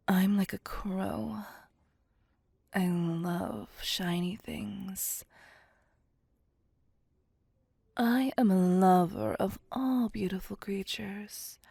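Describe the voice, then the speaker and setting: Woman playing a slow, breathy seductive vampire. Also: medium pitch, warm tone, dry recording, heavily acted. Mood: flirtatious. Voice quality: breathy, whispery. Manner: slow, teasing, flirtatious. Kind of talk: ASMR roleplay.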